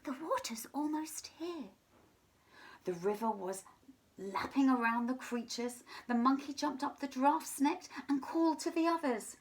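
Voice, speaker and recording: A woman reading a children's story aloud in an expressive, deliberate storytelling manner, sometimes hushed. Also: high-pitched. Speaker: teacher reading a picture book to children.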